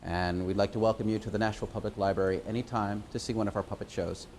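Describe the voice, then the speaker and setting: A man giving an informal explanation as a puppeteer presenting a show, pausing and hesitating as he goes. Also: lowish voice, fairly even intonation, darkish tone, slight background noise.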